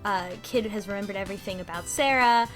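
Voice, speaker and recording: A woman co-hosting a casual podcast, recapping a video game in an animated, amused way. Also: mid-range voice, fast, over music. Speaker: podcast co-host.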